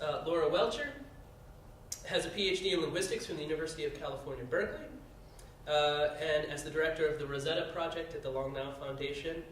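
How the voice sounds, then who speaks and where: A man moderates a conference panel and reads each speaker's introduction aloud, often pausing on "uh". His voice sits a little high. It reaches the mic from a distance in a very reverberant room with faint background noise. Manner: reads bios with frequent "uh" fillers. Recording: very echoey room, heard from a distance.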